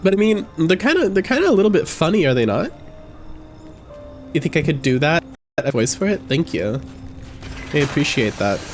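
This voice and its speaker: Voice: medium pitch, sing-song, moderate pace, pauses often, faint music behind. A streamer chatting casually and joking at their own expense during a gaming stream. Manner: chatty, self-deprecating, laughing.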